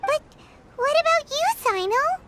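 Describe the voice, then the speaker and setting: A woman voicing a tiny, childlike fairy companion in a video game, bubbly, curious and excitable, with a squeaky delivery. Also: measured pace, heavy background noise. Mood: concerned. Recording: loud music over the voice.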